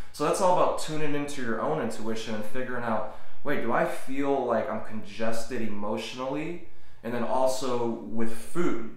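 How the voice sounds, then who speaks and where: Rambling man in a very echoey room. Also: mid-range voice. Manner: rambling, self-correcting, loose. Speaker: podcast co-host. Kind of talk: casual chat.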